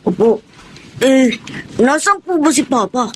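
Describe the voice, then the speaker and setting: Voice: high voice, expressive, unhurried, a little hiss or hum. A performer in a radio drama playing a meek, polite adopted boy who speaks hesitantly and wistfully. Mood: wistful, hurt. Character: gentle, polite adopted boy, hurt older brother. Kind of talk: Tagalog radio drama acting.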